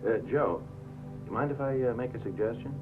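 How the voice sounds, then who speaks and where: A man's voice: mid-range voice, brisk, close to an everyday voice. An actor playing a wisecracking, cynical broadcasting man, wry and casual, in a 1950s film. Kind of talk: 1950s film acting, banter. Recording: tinny 1950s film soundtrack, loud music.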